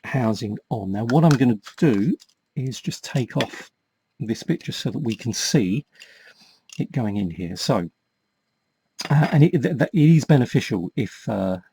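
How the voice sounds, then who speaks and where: A man with a slightly husky voice giving a casual step-by-step repair demonstration, explaining patiently and a little hesitantly as he works with his hands. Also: mid-range voice, expressive, measured pace, dark tone. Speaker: host of a toy repair tutorial.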